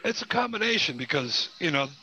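A man's voice: fairly high pitch, very animated, a little fast, bright, forceful tone, slightly rough, poor audio. A man in his forties chatting casually and emphatically, swearing freely. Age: 40s. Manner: heavily profane, emphatic. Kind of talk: casual chat.

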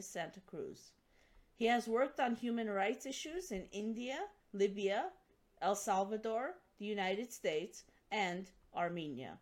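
A woman hosts the interview at a measured, formal pace. She reads a prepared introduction in an even, mid-pitched voice before turning to her questions. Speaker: host.

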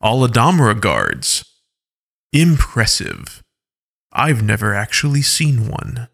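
A man voicing a measured wolf leader. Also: mid-range voice, huge pitch swings, creaky, fairly bright tone, husky, full character voice. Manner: measured, explanatory. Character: measured wolf-tribe elder, deliberate.

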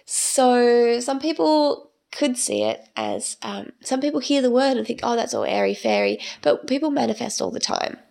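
Croaky, gravelly-voiced woman podcaster. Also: on the high side, big pitch swings, normal speed, few pauses, darkish tone. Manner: rambling, chatty, many ums. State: croaky from a cold. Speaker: podcast host. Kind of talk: casual solo podcast monologue.

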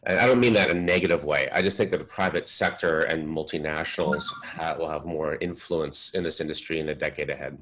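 Male panel expert, very low voice, measured and thoughtful. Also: fairly bright tone, a little hiss or hum, poor audio. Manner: measured, lots of um and uh. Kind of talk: webinar panel discussion.

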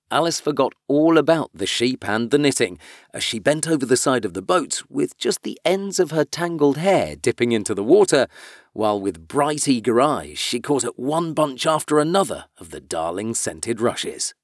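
Male storybook narrator, mid-range and lively, recorded dry. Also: mid-range voice, moderate pace, no echo. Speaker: audiobook reader.

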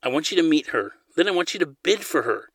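A young woman from an audiobook, coaxing and caring, played by a man. She speaks in a raised pitch with a playful but insistent manner. The recording is very dry. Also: very animated, quick pace, husky, dry recording, full character voice. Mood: coaxing, firm. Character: playful, caring, firm young woman, prince's trusted friend. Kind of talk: audiobook character dialogue.

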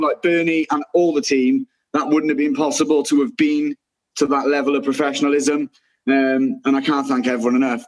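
A man's voice: on the high side, rough recording. A man, a cast member, chatting earnestly and gratefully, often restarting his sentences. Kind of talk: casual reunion chat.

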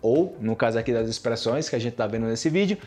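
A young Brazilian man with a Brazilian Portuguese accent, teaching everyday expressions and acting them out with big jumps in pitch. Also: lively intonation, fast, a little mellow. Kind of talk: lively language lesson acting out expressions.

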